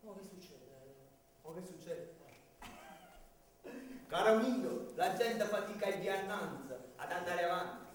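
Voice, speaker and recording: A man's voice: sits high, animated, a little slow, pauses often. A man playing a weary, gossiping common servant in colloquial stage comedy. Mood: worried, resigned. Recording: reverberant hall, distant mic, faint noise.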